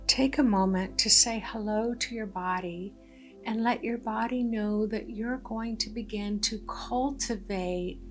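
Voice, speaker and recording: A woman leading a guided meditation in a gentle, deliberate way, pausing between short phrases. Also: mid-range voice, fairly even intonation. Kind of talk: guided meditation, soothing narration. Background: background music.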